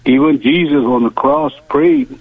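Slow, devout man on a tinny phone line. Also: mid-range voice, sing-song, slightly rough, no echo. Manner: slow, deliberate, devout, pauses often. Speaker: caller.

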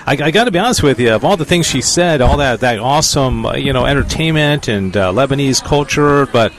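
Guy doing a live field report, upbeat and chatty, mid pitch, stumbles a bit. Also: expressive. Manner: chatty, enthusiastic, halting restarts. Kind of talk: live on-location interview.

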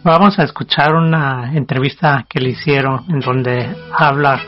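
A male radio co-host introducing a song segment in a measured tone. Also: mid-range voice, steady tone, highs cut off, faint music behind.

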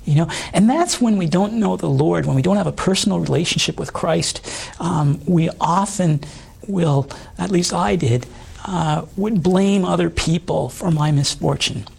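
Middle-aged man, badly husky and hoarse, earnest interview guest telling his story haltingly. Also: a little high, darkish tone. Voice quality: hoarse voice from old vocal damage. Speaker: interview guest, former stage singer. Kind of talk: personal testimony.

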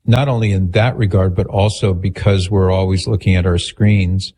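A man hosting a call-in radio show, calm and attentive as he restates what his callers say. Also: deep voice, moderate pace, breathy edge. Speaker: radio host.

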